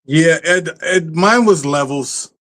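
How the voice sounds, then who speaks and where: A middle-aged man co-hosting a podcast in an unhurried, easygoing way, often breaking into laughter. He talks slowly, and his pitch sits a little high for a man. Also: fairly bright tone. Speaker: podcast co-host. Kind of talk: casual podcast chat.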